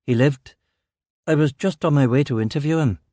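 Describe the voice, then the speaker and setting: This is a man playing a police detective in a crime audiobook. He is mid-pitched, rattled and a little defensive, respectful to his bosses, in a very dry recording. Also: moderate pace, mellow, dry recording, close to an everyday voice. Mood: deferential, factual. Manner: defensive, deferential. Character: male detective narrator speaking, rattled, sweary, deferential to superiors. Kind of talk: audiobook character dialogue.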